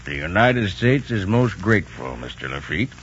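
A man's voice: sits low, sing-song, normal speed, a bit bright, a little hiss or hum. A solemn fatherly general. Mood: formal. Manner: formal and solemn, then hearty. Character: grateful older male American general, fatherly. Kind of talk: 1940s radio drama acting. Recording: muffled old radio broadcast with music.

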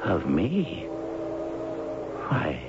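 A man's voice: deep voice, huge pitch swings, very slow, over loud music, exaggerated voice. An actor playing a weary, grieving older father in a radio drama. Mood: sorrowful, weary. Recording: muffled old radio drama broadcast.

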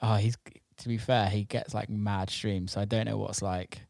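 A man's voice: lowish voice, flat delivery, normal speed, creaky, a little mellow, dry recording, studio quality. A man interviewing someone in a casual chat, speaking in an informal, jokey and sweary way.

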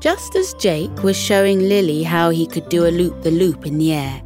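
A woman hosting and narrating a scripted children's bedtime story in an expressive, carefully enunciated way. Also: fairly low pitch, normal speed, over music. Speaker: host and storyteller.

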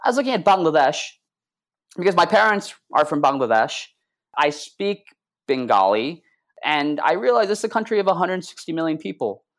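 A man's voice: fairly high pitch, big pitch swings, moderate pace, a bit bright. A man who is a startup CEO, answering interview questions plainly and matter-of-factly. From United States.

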